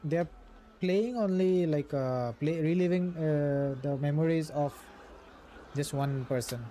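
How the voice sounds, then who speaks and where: A man's voice: a little high, slow, dark tone, slight background noise. A man streaming a game, chatting casually with viewers in a hesitant, explanatory way. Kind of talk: casual chat with viewers over gameplay.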